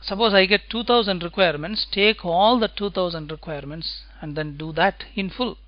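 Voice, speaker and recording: A man teaching software testing in a screencast lecture, talking step by step and checking in with "right?" after his points. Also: high voice, no room sound, lo-fi sound. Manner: slow, deliberate explaining, tag questions "right?".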